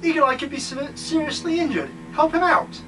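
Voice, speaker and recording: A man playing a brisk, bossy doctor in an audio drama, theatrical and commanding. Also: very high-pitched, far from the mic, poor audio. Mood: exasperated.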